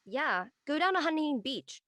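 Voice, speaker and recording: High-voiced, argumentative woman acting a family debater. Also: sing-song, moderate pace, a bit bright, no echo, studio quality. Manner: emphatic, blunt. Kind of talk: dramatic dialogue in an audio play.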